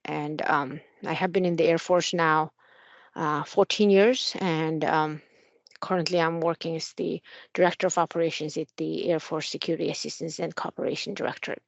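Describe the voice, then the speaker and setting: A woman's voice: sits low, fairly even intonation, moderate pace, creaky, no echo. Mongolian-accented woman, dry and slightly muffled. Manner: frequent fillers, friendly and earnest. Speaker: Air Force officer. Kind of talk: interview answers.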